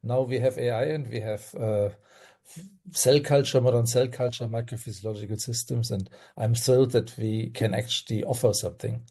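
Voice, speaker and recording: Older German-accented man, mellow voice. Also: mid-range voice, fairly even intonation, moderate pace, slightly rough. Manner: earnest, hesitant with fillers, laughs while speaking. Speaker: guest toxicologist. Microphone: video call.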